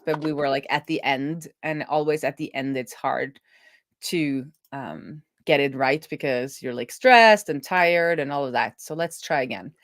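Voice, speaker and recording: A woman's voice: sits low, no echo, studio quality. A woman chatting casually and informally on a livestream, thinking aloud as she works. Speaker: livestream co-host and web developer. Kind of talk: casual livestream chat while working through code.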